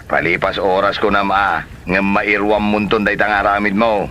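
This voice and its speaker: A man's voice: lowish voice, little pitch movement, moderate pace, bright, forceful tone, a little hiss or hum. A man with a Filipino accent playing an exasperated, scolding friend in a comedy radio drama. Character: exasperated, gossipy friend who scolds and teases.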